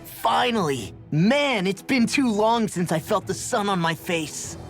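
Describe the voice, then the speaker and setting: Game role: hot-headed young fighter, American accent, very lively cocky delivery. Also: male voice, high voice, a little slow. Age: young adult. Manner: cocky, slangy. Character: brash, hot-headed young male brawler, son following father's footsteps. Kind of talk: video game voice acting. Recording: studio voice over game music.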